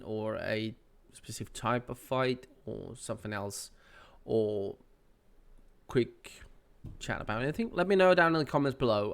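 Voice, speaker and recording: A man's voice: mid-range voice, clear, resonant tone. A man hosting his gaming channel, sounding nasal from a cold, giving a casual, rambling update with many fillers.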